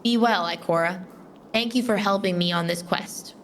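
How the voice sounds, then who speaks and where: A woman playing a steadfast, plain-spoken young Titan warrior in a fan audio drama, earnest and measured. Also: medium pitch, slight background noise, lightly acted. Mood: solemn, caring.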